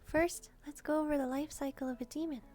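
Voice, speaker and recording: A woman's voice: high-pitched, fairly even intonation, brisk, darkish tone, soft background music, close to an everyday voice. A woman voicing a sweet, curious young demon maid, chatting politely and a little hesitantly to the listener in a roleplay. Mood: earnest, explaining.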